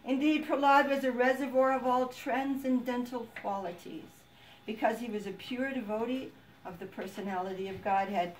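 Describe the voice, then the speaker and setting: A woman narrating a scripted puppet-show story in an expressive storybook manner. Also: on the high side, moderate pace. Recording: distant microphone, background noise.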